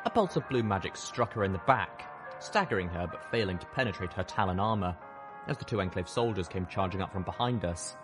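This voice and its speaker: A man's voice: deep voice, animated, brisk, music in the background. A man reading scripted first-person fiction as an audiobook narrator.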